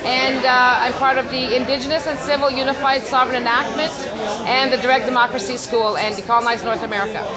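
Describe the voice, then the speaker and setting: A woman's voice: sits high, flat delivery, fast, low-quality recording. A woman from Montreal introducing herself and her groups at a workshop. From Canada. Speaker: workshop participant. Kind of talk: self-introduction at outdoor workshop. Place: outdoor park gathering. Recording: loud crowd behind.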